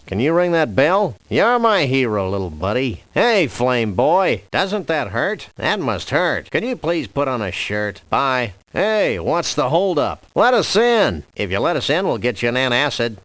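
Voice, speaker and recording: A man voicing a laid-back cartoon dog detective in a video game, delivering scripted lines in a deadpan, dryly wordy manner. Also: medium pitch, dry recording. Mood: casual, teasing. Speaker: freelance police detective.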